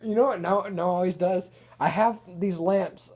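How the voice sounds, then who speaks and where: Man haltingly reading his own story. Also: high voice, darkish tone, slightly rough. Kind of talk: reading own written story aloud, with casual banter.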